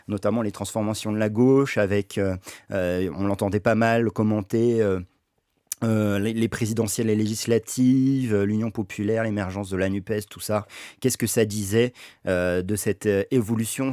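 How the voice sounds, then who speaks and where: A man's voice: fairly low pitch, a little mellow, dry recording. Guy hosting a reading stream, lots of umm and restarts, dry close mic. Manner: hesitant, many fillers and restarts. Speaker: stream host reading a book aloud. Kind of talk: casual livestream talk.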